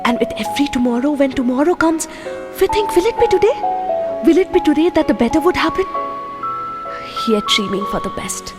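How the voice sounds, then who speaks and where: A woman's voice: sits high, animated, fairly quick. An actress playing a young woman from India with an Indian English accent, earnest and reflective in an audio drama. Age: young adult. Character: young woman Priya, hurt but composed. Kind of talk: amateur audio drama acting. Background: music.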